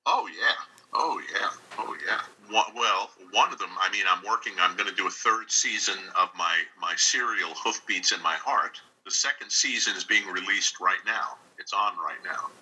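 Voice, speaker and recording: A man's voice: fairly low pitch, normal speed, pushed and bright, slightly rough, poor audio. A man from New York State answering interview questions casually and animatedly, often repeating his phrases. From New York State, United States. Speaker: interview guest, radio drama writer and director.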